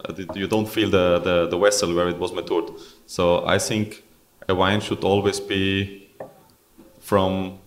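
A man speaking as a winemaker on a live panel, halting and repeating himself as he looks for words. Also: sits low, flat delivery, slight background noise.

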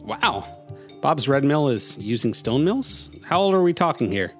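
A man's voice: mid-range voice, sing-song, a little slow, faint music behind. Upbeat man announcing a radio ad. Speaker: radio host in an ad. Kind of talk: scripted ad.

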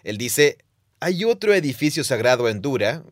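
A man's voice: mid-range voice, big pitch swings, normal speed, no echo, clean studio sound. A man co-hosting a podcast, explaining ancient texts at length and reading quotes aloud. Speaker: podcast co-host, Bible teacher.

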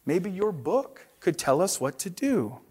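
Storybook little dragon, read by a man: seven-year-old boy, earnest, easily discouraged, high pitch. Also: very animated, unhurried, darkish tone, slightly rough, heavily acted. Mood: resigned. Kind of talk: children's storybook read-aloud.

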